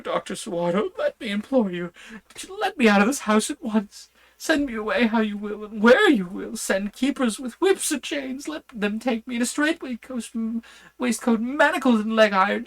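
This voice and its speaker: A man voicing a frantic asylum inmate who begs desperately, in a high, strained voice. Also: animated, normal speed, a bit bright, full character voice. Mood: desperate pleading. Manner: desperate, high-pitched pleading.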